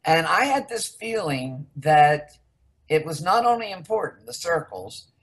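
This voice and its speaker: A woman's voice: low-pitched, big pitch swings. Older American woman telling stories unhurriedly. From United States. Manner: rambling, candid, unhurried storytelling. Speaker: researcher guest. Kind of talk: casual interview.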